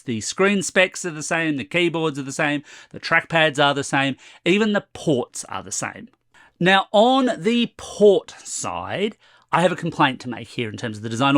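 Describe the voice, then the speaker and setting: A slightly hoarse man in his forties giving a chatty, wry tech review to camera from a room at home. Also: a little high, a bit bright, no room sound, studio quality. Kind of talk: casual product review to camera.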